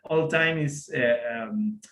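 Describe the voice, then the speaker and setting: A man with an Argentine Spanish accent speaking English as a second language, chatting casually, often pausing and restarting to find his words. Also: mid-range voice, a bit bright, roomy sound, slight background noise. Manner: halting, searching for words, many fillers and restarts. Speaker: telescope equipment seller. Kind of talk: casual chat on a video call.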